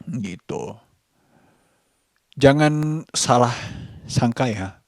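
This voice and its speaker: Guy doing a casual vlog, slow n halting delivery, mid pitch, no room echo. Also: darkish tone, clean studio sound. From Indonesia. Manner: halting, deliberate, breaking thoughts into short phrases. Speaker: vlogger.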